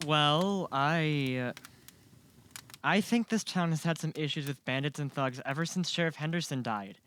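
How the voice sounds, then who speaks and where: Casting: male actor for an inquisitive young townsman in an audio drama, slightly high voice, earnest and eager. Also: moderate pace, fairly bright tone, clean tone, natural voice. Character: eager, curious young man, earnest small-town shop worker. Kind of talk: amateur audio drama acting. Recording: faint background noise.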